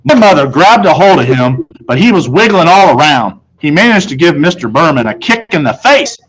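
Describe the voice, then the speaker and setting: A man's voice: on the high side, big pitch swings, moderate pace, fairly bright tone, poor audio. A man reads a children's novel aloud as first-person storytelling, in the role of a teacher.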